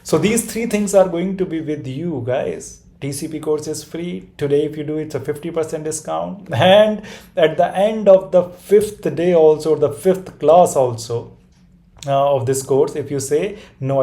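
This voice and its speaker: Mellow-voiced Hindi-speaking man teaching a webinar. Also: on the high side, moderate pace. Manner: repeats "right?" and restarts often, talking through a whiteboard diagram. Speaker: course instructor. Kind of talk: online webinar lesson with a sales pitch.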